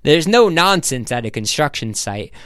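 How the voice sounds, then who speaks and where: A man's voice: on the high side, very animated, normal speed, a bit bright, clear, resonant tone, no room sound, studio quality, pitched above the performer's own voice. A brash middle-aged business boss acted out by a male narrator in a storybook reading. He pushes his voice up and speaks with blustering, insistent emphasis. He sounds bossy and expansive, used to getting his way. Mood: proud.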